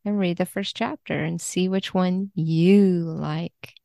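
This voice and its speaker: Creaky-voiced woman chatting as a guest. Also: lowish voice, fairly even intonation, slow pace, dark tone, no room sound, clean studio sound. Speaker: literary translator, podcast guest. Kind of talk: casual conversational interview.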